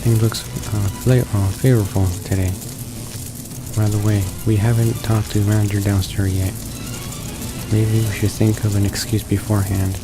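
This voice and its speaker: A man reading a visual novel's narration aloud as a let's player, halting and correcting himself. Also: lowish voice, little pitch movement, rough recording. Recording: game music behind the voice. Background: visual novel background music.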